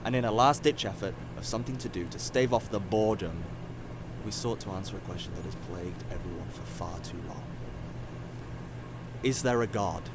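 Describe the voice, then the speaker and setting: An actor playing a bitter, cruel older time-travelling scientist in an audio drama, intense and scornful. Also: male voice, low-pitched, brisk, very noisy, natural voice. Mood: grim, resigned.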